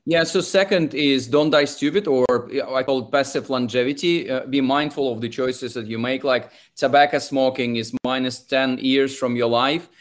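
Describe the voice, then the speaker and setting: A man with a Russian accent answers questions in an interview. He talks in a halting way, stops and restarts phrases and fills pauses with hesitant sounds. His pitch sits in the middle for a man. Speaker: investor and author.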